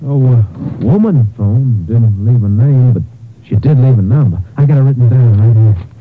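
Casting: male actor as a stubborn, uneasy young ranchman, medium pitch, informal country manner, 1950s radio drama, dull narrow vintage sound. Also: normal speed, faint music behind, close to an everyday voice. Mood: matter-of-fact. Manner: informal, folksy.

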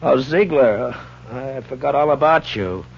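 An American actor playing a steady, kindly, authoritative frontier marshal in a 1950s radio western. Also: male voice, mid-range voice, moderate pace, creaky, slightly rough. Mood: businesslike. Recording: dull, noisy old radio recording.

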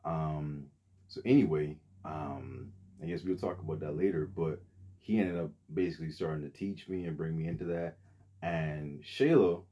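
A man's voice: a bass voice, fairly even intonation, normal speed, dark tone, breathy edge. A young man from the Bahamas, a podcast guest telling his story in a rambling, casual way with many fillers and restarts.

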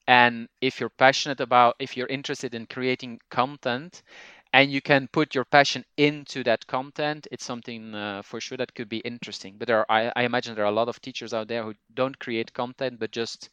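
A Flemish-accented man, a native Dutch speaker, talks English in an interview with a clean, resonant tone. The sound is close and dry, with no room in it. He explains at length and pauses to think as he goes. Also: mid-range voice, fairly bright tone, clean tone, dry recording. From Belgium. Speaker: language teacher and podcaster, guest. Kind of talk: conversational interview.

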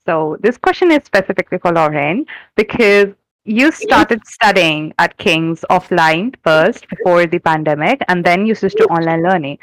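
A woman's voice: fairly low pitch, expressive, moderate pace, no room sound, poor audio. A young woman co-hosting a student podcast, asking guests friendly questions. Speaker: podcast co-host.

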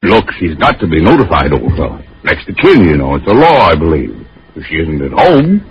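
Deep, swooping, blustery radio-drama boss. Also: male voice, big pitch swings, a little fast. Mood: insistent, coaxing. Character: paternal, insistent male boss, mature and probing. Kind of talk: old-time radio drama acting. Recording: muffled, hissy vintage radio recording.

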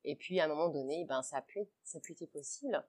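A woman's voice: deep voice, quick pace, a little mellow. A woman in her forties of Italian family, a guest chatting at home, hesitant with many fillers and restarts. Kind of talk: casual interview chat.

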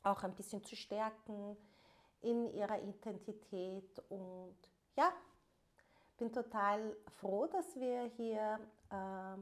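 Young woman co-host, unhurried and deliberate, with many pauses and fillers. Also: medium pitch. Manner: slow, thoughtful, frequent hesitations. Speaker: podcast co-host. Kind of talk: casual chat.

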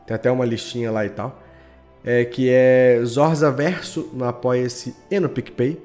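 A man's voice: medium pitch, steady tone, unhurried, a little mellow. Casual Brazilian man over faint music. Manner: informal, slangy. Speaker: podcast creator, guest. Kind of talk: casual chat.

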